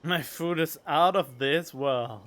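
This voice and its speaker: A man's voice: on the high side, takes it slowly, noisy background. A man giving casual, playful and wry gaming commentary as a streamer. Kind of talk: casual joking commentary over gameplay.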